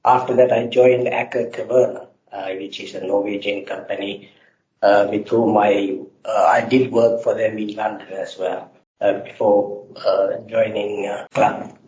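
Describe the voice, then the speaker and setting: A middle-aged man from Sri Lanka, answering interview questions in a halting way with many filler sounds. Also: fairly low pitch, little pitch movement, a little mellow. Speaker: guest engineer. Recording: roomy, slightly dull sound.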